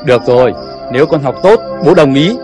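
A reserved middle-aged cartoon father, played by a man. His voice is a little high and moves animatedly up and down. Music is clearly heard behind him. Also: expressive, fairly quick, close to an everyday voice. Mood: measured consent. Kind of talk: cartoon voice acting.

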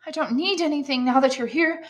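A woman voicing a frail, gentle but proud old father in an audiobook, meek and reassuring. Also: high voice, brisk, pitched above the performer's own voice, lightly acted. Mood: reluctant, confessing. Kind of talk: audiobook character dialogue.

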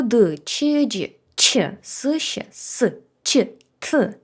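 A woman teaching a language lesson in an animated, chatty, encouraging way with emphatic repetition. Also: a little high. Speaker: Korean language teacher and video host. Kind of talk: conversational language lesson to camera.

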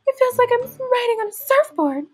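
A woman's voice: extremely high pitch, expressive, a little fast, soft background music, pitched above the performer's own voice. A woman voicing a worried female clam in a children's storybook.

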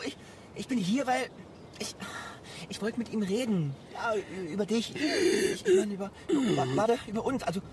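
An actor playing an anxious younger man in a radio drama, stammering and urgent. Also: distant mic. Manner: stammering, urgent, high-strung. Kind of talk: German radio drama acting. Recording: off-mic, noisy outdoor storm ambience.

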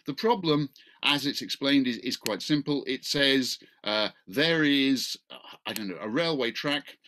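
A man's voice: mid-range voice, pushed and bright. A middle-aged man, speaking informally and wryly as he introduces an online talk from a video call. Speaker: event chair, law professor. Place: small book-lined room at home, indoors. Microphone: video call, computer microphone.

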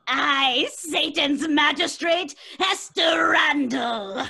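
A woman's voice: high voice, drawn-out words, vocal fry, sharp tone, full character voice. A woman playing a menacing, grandiose witch's ghost who declaims archaically in an old-time radio horror play. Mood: menacing, wrathful.

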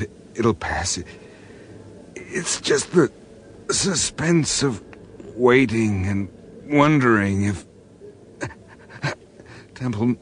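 An actor playing a cornered American crime boss and proud gambler in a radio crime drama, halting and stammering under pressure. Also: male voice, lowish voice, slight background noise.

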